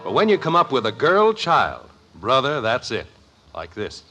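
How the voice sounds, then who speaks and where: A man's voice: mid-range voice, wildly swooping pitch, normal speed. A man with an American accent giving polished, genial scripted narration as a 1950s radio show announcer. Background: loud music.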